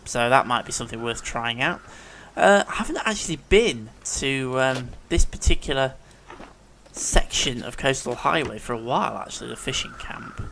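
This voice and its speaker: Guy with a cold rambling through a let's play in his living room, bit gravelly, faint hiss behind. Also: mid-range voice, expressive, normal speed, fairly bright tone. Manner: chatty, rambling, thinks aloud. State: congested with a cold, sore throat. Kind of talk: casual gameplay commentary.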